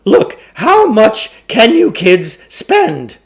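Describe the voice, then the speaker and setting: Guy doing a pompous pushy salesman for a kids book, high loud voice, swoops all over, theatrical. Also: huge pitch swings, extremely slow, exaggerated voice. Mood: wheedling. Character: blustering, wheedling huckster salesman peddling mind-control gadgets.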